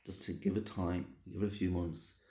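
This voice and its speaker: A man with a rough, hoarse voice from a cold, giving casual, reassuring advice and often repeating and rephrasing himself. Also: low-pitched, little pitch movement, moderate pace, warm tone. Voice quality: gravelly, slightly rough. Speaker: vlogger answering a subscriber. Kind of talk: casual advice talk to camera. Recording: roomy, echoey sound.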